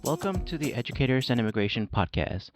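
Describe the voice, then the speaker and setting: A man from Mexico whose first language is Spanish, hosting a podcast and reading a scripted personal story in a measured way. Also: medium pitch, very animated, faint music behind. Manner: measured, read-aloud delivery. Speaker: podcast host. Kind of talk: scripted solo narration.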